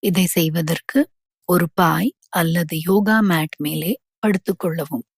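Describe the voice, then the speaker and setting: A woman guiding a yoga nidra relaxation, giving calm, measured instructions with pauses between phrases. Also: lowish voice, vocal fry, husky, dry recording. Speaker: yoga nidra meditation guide.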